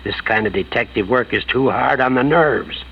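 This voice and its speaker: An actor playing a harried, grumbling police inspector in a 1940s radio drama, exasperated and blustering. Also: male voice, mid-range voice, normal speed, creaky, breathy edge. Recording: muffled vintage radio, faint noise.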